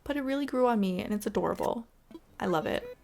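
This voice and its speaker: A woman giving casual, chatty and expressive voice-over commentary as she plays a video game. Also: fairly high pitch, fast, vocal fry. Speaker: gaming video creator. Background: background music.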